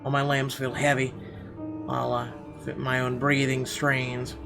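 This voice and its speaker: A man's voice: mid-range voice, completely flat delivery, a little slow, creaky. Theatrical man narrating over music. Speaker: gaming video host. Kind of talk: dramatic read-aloud of visual novel narration. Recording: music behind the voice. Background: game music.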